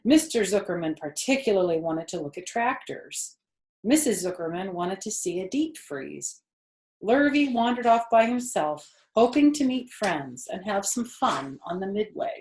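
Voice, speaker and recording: An older woman reads a children's book in a room with noticeable echo. Her voice sits at a middle pitch. She is expressive and grandmotherly, pausing to explain things to young listeners. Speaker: storyteller reading to children. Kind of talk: expressive read-aloud of a children's book with chatty asides. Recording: echoey room sound.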